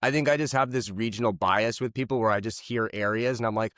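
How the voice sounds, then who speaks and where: A man's voice: mid-range voice, quick pace, fairly bright tone, smooth, no echo, clean studio sound. A young man from Canada hosting a podcast, chatting casually in a jokey way with offhand swearing. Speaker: podcast host. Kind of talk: casual interview chat.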